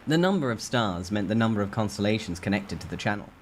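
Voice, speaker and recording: A man with a fairly low voice tells a story in the first person. He reads dramatically, as a storyteller, with faint ambient noise behind him. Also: animated, fast talker.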